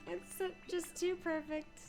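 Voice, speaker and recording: A woman's voice: very high voice, flat delivery, measured pace, over music. A woman game streamer giving casual playthrough commentary with playful, sarcastic asides.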